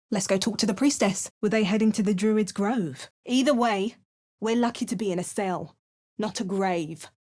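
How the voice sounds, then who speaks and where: A woman's voice: medium pitch, lightly acted. A woman with an English accent voicing a hardened, wry female adventurer in a video game, making dry remarks and chanting spells. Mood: curious. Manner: chants spell words in a lively, sing-song way. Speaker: player character.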